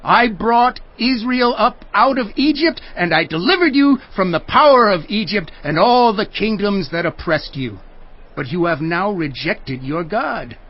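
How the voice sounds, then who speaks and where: An actor playing a stern, solemn prophet in a dramatized audio Bible, declamatory and deliberate. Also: male voice, very high voice, full character voice. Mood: stern rebuke. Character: stern, solemn male prophet instructing his people. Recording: slightly muffled, music underneath. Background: music.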